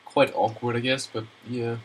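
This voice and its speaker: A man's voice: mid-range voice, little pitch movement, normal speed, slight background noise. A young man with a Swedish accent, nasal from a cold, narrating a screen-recorded modeling tutorial in a rambling, chatty way with many fillers. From Sweden.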